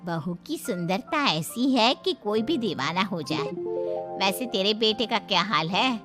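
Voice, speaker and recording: A woman's voice: medium pitch, expressive, a little slow, music in the background, natural voice. A woman voicing a wicked, gloating middle-aged auntie in a dramatised moral story, with a sly, gossipy manner. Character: wicked gloating middle-aged female friend of mother-in-law.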